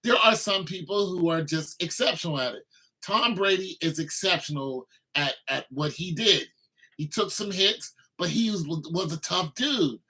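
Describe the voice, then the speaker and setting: Man, mid-fifties, laid-back livestream chatter, bright sharp tone, slightly high voice. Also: moderate pace, slightly rough, rough recording. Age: 50s. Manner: laid-back, rambling, talks to viewers. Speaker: livestream host. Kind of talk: casual livestream chat.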